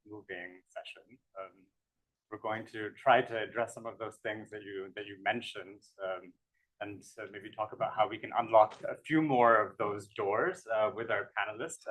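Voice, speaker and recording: A man moderating a conference panel, introducing speakers in a loud, projecting voice. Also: on the low side, dry recording, low-quality recording.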